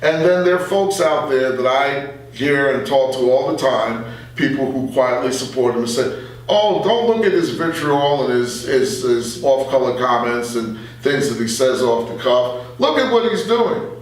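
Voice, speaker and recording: Male interviewee, middle-aged, mid-pitched, earnest and halting, heard in a strongly echoing room. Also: expressive. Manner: earnest, halting delivery with repeated phrases. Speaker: radio journalist interview guest. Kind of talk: conversational political interview. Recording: very echoey room, far from the mic.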